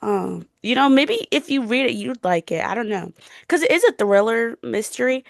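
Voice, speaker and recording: A woman's voice: a little high, animated, normal speed, creaky, dry recording, studio quality. A young woman co-hosting a casual book chat in an informal, emphatic, opinionated way. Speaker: book podcast co-host.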